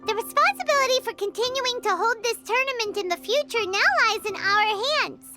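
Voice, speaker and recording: A woman voicing a small, childlike, cheeky and bubbly fairy companion in an animated video game style. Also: extremely high pitch, fairly quick, loud music behind the voice. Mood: excited announcing. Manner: bubbly, refers to herself in third person.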